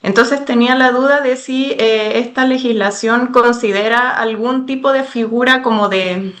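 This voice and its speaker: A woman's voice: on the high side, steady tone, moderate pace, fairly bright tone. A Chilean woman asking a question in an online workshop, hesitant and careful, with frequent fillers.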